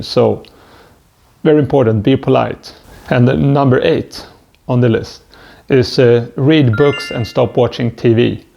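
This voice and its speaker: Swedish-accented man, gravelly and mellow. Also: mid-range voice, highs cut off. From Sweden. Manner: hesitant, stumbling with frequent "uh" fillers. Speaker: vlogger. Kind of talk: self-help advice monologue.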